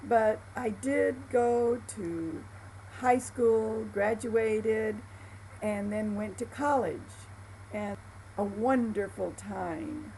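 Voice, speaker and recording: Brief: older woman, 70s, gravelly and slow, homespun life-story testimony. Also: a little high, mellow, a little hiss or hum, poor audio. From Florida, USA. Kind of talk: personal testimony to camera.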